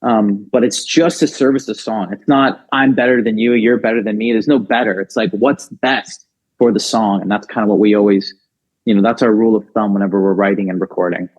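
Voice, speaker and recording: A man's voice: lowish voice, fairly quick. A man who sings in a rock band, talking casually as a podcast guest, chatty with lots of fillers and restarts. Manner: talkative, many fillers and restarts, animated. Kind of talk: casual chat. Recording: dull, lo-fi sound.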